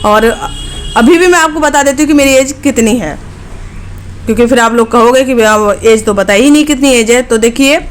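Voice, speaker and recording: A woman's voice: on the high side, normal speed, slight background noise. A woman in her late twenties, a vlogger chatting directly to her viewers in a casual, frank way, often checking in with "okay". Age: late 20s.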